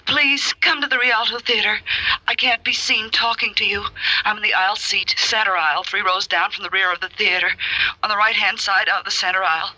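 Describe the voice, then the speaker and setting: An actress playing a frightened, insistent, secretive client in 1940s radio melodrama, urgent and pleading. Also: female voice, mid-range voice, fairly even intonation, brisk, sharp tone, no room sound, poor audio.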